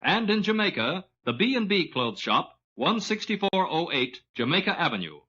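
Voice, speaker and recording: A male announcer reading a 1940s radio advertisement with a crisp pitchman delivery. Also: big pitch swings, moderate pace. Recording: dull lo-fi old radio recording.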